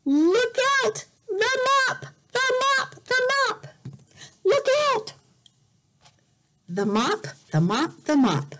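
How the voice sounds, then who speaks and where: A middle-aged woman teacher reads a picture book aloud to young learners, explaining simply, deliberately and expressively, repeating words. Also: very high-pitched, sing-song, a bit bright. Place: indoor room at home.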